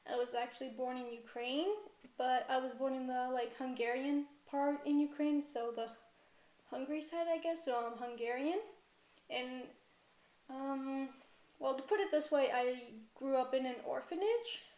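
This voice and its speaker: A woman's voice: sits high, moderate pace, a little mellow. A young woman from Canada talking casually about her life, hesitant and full of fillers. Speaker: vlogger. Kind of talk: casual personal vlog talk. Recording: roomy echo.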